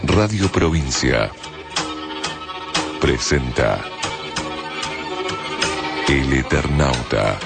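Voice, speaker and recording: Casting: Argentine male promo announcer, gravelly fry, unhurried, loud music bed. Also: extremely low pitch, lively intonation. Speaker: station announcer. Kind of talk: scripted radio promo announcement.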